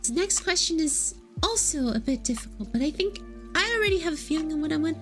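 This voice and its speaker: A VTuber woman with a very high voice chats casually with her viewers, playful and giggly as she teases them. Faint background music plays behind her. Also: lively intonation, fairly quick. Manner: playful and giggly, teasing chat. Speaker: VTuber streamer. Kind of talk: casual livestream chat, answering would-you-rather questions.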